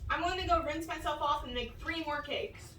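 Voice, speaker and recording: Woman acting a high-voiced, organizing puppet friend. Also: moderate pace, bright, forceful tone. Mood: hurried. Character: Lily, organizing puppet friend planning a party. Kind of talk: amateur puppet show acting. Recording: roomy, noisy, distant microphone.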